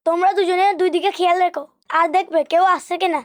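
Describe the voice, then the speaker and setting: A woman's voice: very high voice, fairly even intonation, quick pace. A woman voicing a bossy young schoolboy ringleader in a children's cartoon dub. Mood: bossy, scheming. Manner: bossy, commanding.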